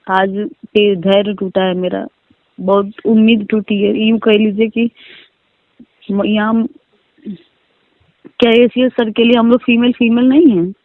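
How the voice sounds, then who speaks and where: A woman's voice: medium pitch, steady tone, a little slow, pauses often, no room sound. A woman teacher, tearful and pleading as she airs a grievance in a distressed phone conversation, repeating herself. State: tearful, crying. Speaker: teacher phoning about a transfer grievance. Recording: narrow-band recorded phone call.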